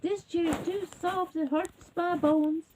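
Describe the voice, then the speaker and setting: A woman's voice: very high voice, fairly even intonation, unhurried, noisy background, voice pushed up. A woman voicing a fussy, tired, dramatic little girl in a children's storybook tale. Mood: pained, complaining.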